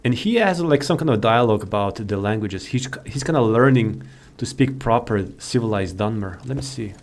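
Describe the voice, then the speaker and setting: A man's voice: lowish voice, expressive, moderate pace. A man giving casual gameplay commentary, rambling and thinking aloud as he hosts. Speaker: video host, gamer.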